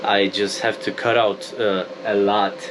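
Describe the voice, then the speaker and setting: A man's voice: fairly low pitch, measured pace. Chatty Polish-accented man, noisy room. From Poland. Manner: rambling, chatty, self-deprecating. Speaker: vlogger. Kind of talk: casual vlog chat. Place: small room in a shipping container, rural desert. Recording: steady background noise.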